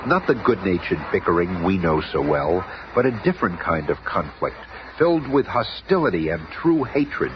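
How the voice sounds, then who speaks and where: An actor as a dramatic narrator, giving emphatic scripted narration in a 1970s radio serial. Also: male voice, low voice, big pitch swings, moderate pace, few pauses. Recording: muffled, noisy old radio recording.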